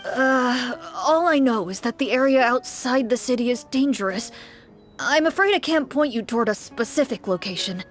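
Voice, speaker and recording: A jittery, teary-eyed townsman, as a woman puts him on, wringing his hands in a lively, wavering voice. He frets over every worry, then gushes with shaky relief. It plays like a fan-voiced game cutscene. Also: on the high side, moderate pace, soft background music, close to an everyday voice. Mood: tearful. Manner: fretful and gushing, breaks into shaky relief. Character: anxious, tearful middle-aged townsman.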